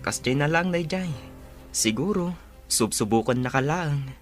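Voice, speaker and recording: A man's voice: on the high side, lively intonation, a little slow. A man playing a devoted young boyfriend in an Ilocano audio drama. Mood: earnest, consoling. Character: devoted young man reassuring his girlfriend about his mother. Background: plucked guitar music.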